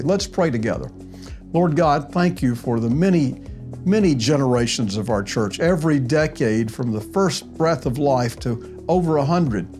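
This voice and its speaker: Older male pastor speaking from his office. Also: mid-range voice. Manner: warm pastoral address to camera, ending in prayer. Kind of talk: weekly church update to the congregation. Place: small office indoors. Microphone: clip-on lapel mic.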